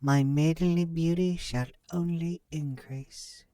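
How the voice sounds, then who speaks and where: A man's voice: a little high, fairly even intonation, slow pace, warm tone, no room sound, pitched above the performer's own voice. A man voicing a vain young maiden in a fairy tale, pleading in formal, archaic speech. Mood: longing, vain. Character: vain young maiden, merchant's second daughter. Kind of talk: storybook character voice.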